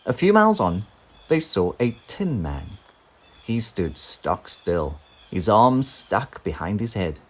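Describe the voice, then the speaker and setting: A man narrates a children's storybook at an unhurried pace, and his voice rises and falls in a lively, dramatic way. His tone has a husky, slightly breathy edge. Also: on the low side. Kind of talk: children's storybook read-aloud.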